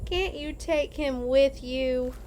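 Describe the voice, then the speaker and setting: Woman as scornful older boy in a storybook: whiny, bossy, sneering, pitched up. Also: slow pace, darkish tone, a little hiss or hum. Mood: whiny, indignant.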